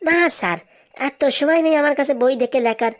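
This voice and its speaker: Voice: high-pitched, fairly quick, natural voice. A performer voicing a brazen, glib schoolboy in a comedy cartoon. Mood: cheeky, confident. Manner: glib, answers back with absurd confidence. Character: cheeky, brazen schoolboy with buck teeth.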